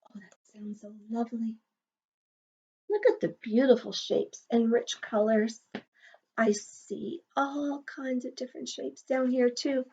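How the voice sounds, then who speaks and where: A woman's voice: fairly high pitch, fairly even intonation, measured pace, gravelly, a little mellow, husky. A woman teacher reading a picture book aloud to young children, encouraging them with questions and sing-song asides, on a slightly dull recording.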